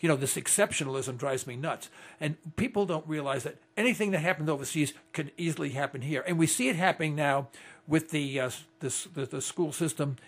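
A man hosts a talk radio discussion in a husky, higher-pitched voice. He rambles, repeats himself and restarts often as he builds toward a question. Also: brisk. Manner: rambling and repetitive, with many restarts. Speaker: radio talk show host.

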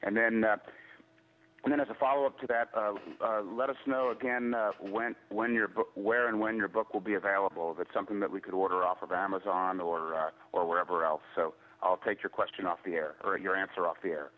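Hesitant low-voiced male caller on a phone line. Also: fairly even intonation, a little fast. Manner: hesitant, searching for words with many fillers. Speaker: radio caller. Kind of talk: call-in question on talk radio.

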